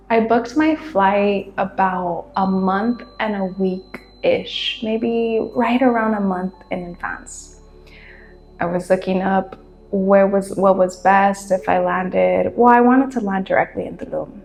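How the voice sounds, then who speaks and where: A woman whose first language is Spanish, hosting her podcast in a relaxed, rambling monologue from her room, laughing often. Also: medium pitch, gravelly, reverberant. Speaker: podcast host. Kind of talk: casual monologue.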